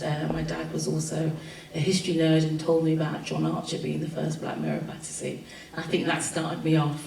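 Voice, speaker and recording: A woman from London with a London accent gives a reflective, informal panel talk, pausing on ums and restarting her sentences. Her voice sits low and stays flat in pitch. She is picked up from a distance in an echoey room with a faint hum of ambience behind her. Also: vocal fry. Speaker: panel speaker, writer. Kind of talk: spoken presentation at a panel. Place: event room at a festival panel.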